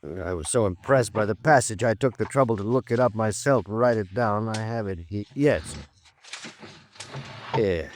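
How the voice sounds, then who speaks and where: An actor playing a big, middle-aged, graying doctor, measured and reflective, in a 1950s American radio drama. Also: male voice, fairly low pitch, close to an everyday voice. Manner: measured, thoughtful, educated phrasing.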